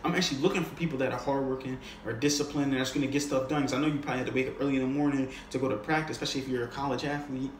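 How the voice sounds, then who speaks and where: A man's voice: medium pitch, fairly even intonation, racing, few pauses. Guy giving casual sales coaching off the top of his head, slangy, echoey room, bit of noise. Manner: conversational, slangy, often checks in with the listener. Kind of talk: casual, unscripted instructional talk.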